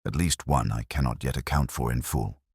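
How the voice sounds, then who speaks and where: A man voicing a cool, brooding detective in an audiobook, musing in measured phrases. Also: rumbling low, darkish tone, husky, dry recording, studio quality, pitched below the performer's own voice. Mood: pensive. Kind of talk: audiobook detective story dialogue.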